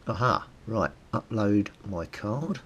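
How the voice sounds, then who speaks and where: Low-voiced British man, casual and informal. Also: slow, husky. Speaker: hobbyist video host. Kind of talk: casual how-to vlog commentary, thinking aloud. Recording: steady background noise with low rumble.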